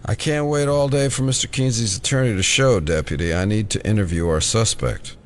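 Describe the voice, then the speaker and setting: An actor playing an impatient, insistent FBI agent, curt and sardonic, in an audio drama. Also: male voice, fairly low pitch, a little fast, a little hiss or hum, close to an everyday voice.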